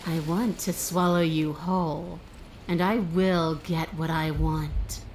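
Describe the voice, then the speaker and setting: An actress playing a monstrous, predatory beast princess in a video game, speaking with slow, deliberate menace. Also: female voice, low voice, flat delivery, darkish tone, a little hiss or hum, low-quality recording. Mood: hungry menace.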